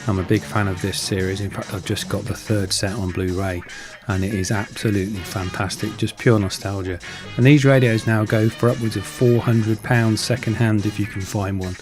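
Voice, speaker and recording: A man's voice: lowish voice, steady tone, moderate pace, over music. A middle-aged man who hosts a channel, casually narrating a hobby prop build. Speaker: host of a prop-making channel.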